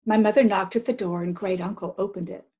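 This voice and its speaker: A woman reading a children's picture book aloud as an expressive storyteller. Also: mid-range voice, fairly quick, husky.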